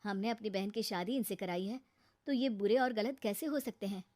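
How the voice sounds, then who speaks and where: A woman's voice: mid-range voice, moderate pace, a little mellow, natural voice. A woman voicing a respectful, earnest young man, a student, in a cartoon moral story. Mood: firm, respectful.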